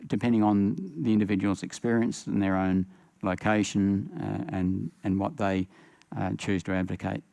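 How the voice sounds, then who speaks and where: An older man with an Australian accent speaking on a panel, pausing often with fillers. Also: fairly low pitch, level tone, creaky, warm tone, no room sound. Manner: halting, many uh fillers. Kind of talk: panel discussion.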